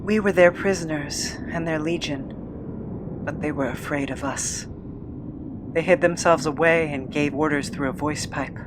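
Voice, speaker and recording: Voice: medium pitch, steady tone, lightly acted. An actor as a haunted, bitter immortal captive. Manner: halting, brooding, confessional. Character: traumatised immortal escaped prisoner of inhuman kind, bitter, haunted. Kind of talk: audio drama monologue. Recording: noisy ambience behind the voice.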